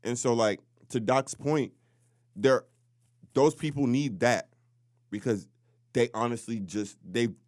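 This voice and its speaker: A man co-hosting a casual podcast, talking informally and haltingly as he searches for words. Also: medium pitch, a little mellow, no echo, clean studio sound. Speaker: podcast co-host.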